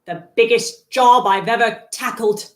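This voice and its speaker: An actress in a 1930s-style radio play voicing a frail, dutiful dying woman who speaks haltingly, with sighs. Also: on the high side, roomy sound, lightly acted. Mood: weak, pleading. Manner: halting recitation with sighs and gasps. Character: dying music-hall memory woman, frail, earnest, dutiful.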